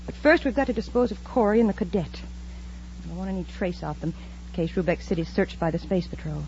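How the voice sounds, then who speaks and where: Cool villainess, muffled old radio. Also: female voice, on the low side, expressive, fast, darkish tone. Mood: coldly commanding. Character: cool, commanding female villain with polite front. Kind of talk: 1950s radio serial acting. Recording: muffled, noisy old-time radio recording.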